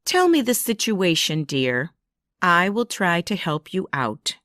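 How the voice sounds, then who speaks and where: A woman voicing a kindly, patient cartoon grandmother who speaks in a gentle, caring, measured manner. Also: on the low side, very animated, no echo, clean studio sound, full character voice. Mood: concerned, caring.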